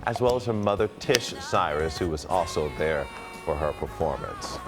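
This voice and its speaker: A man's voice: very deep voice, expressive, normal speed, music in the background. A man anchoring morning news in a casual, chatty style.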